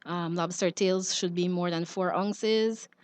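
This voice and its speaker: A woman's voice: fairly low pitch, steady tone, unhurried, darkish tone, no echo. A woman with a Belizean accent explaining things informally as an expert guest, plain-spoken and advisory.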